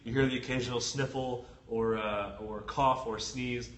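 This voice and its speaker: A man with a head cold and a stuffy nose, co-hosting a casual sports podcast with quick, chatty, joking talk. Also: mid-range voice, fairly even intonation, a bit bright. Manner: quick, chatty, self-deprecating joker. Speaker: sports reporter co-host. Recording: roomy echo with faint ambient noise.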